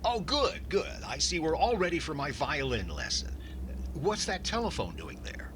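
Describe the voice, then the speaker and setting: A man acts out a vain, penny-pinching middle-aged radio comedian. His voice sits a little high and swings wildly up and down, with fussy drawn-out exclamations. The old-time comedy recording has clear background noise. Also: big pitch swings, fairly bright tone. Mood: fussy, self-satisfied. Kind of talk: 1940s radio comedy acting.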